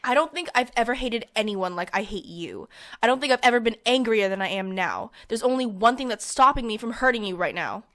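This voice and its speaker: Actress doing vengeful angry young woman, forceful edgy voice, bit high, audio drama. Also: lively intonation, fairly quick. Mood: seething, threatening. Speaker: actor.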